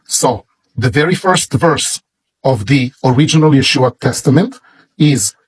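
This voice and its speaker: A man with a Hebrew accent, a native Hebrew speaker, presenting and explaining in English with deliberate, halting phrasing in short pieces and a husky voice. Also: mid-range voice, expressive, dry recording. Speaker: presenter showing a book. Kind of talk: slow explanatory product presentation with read-out verses.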